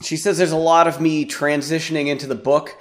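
Raspy congested man hosting a podcast. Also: fairly high pitch, flat delivery, a little fast. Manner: rambling, self-deprecating. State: congested from a sinus infection. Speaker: podcast host. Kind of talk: casual podcast chat.

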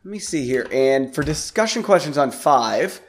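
Man, podcast host, raspy and congested, casual self-mocking chatter. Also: medium pitch, expressive, normal speed. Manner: rambling, self-deprecating. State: congested from a sinus infection.

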